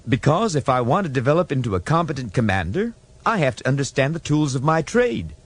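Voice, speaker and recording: A man's voice: medium pitch, big pitch swings, a little fast, pitched above the performer's own voice. A man voicing an eager, earnest young starship ensign in a science fiction audiobook. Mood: eager, insistent.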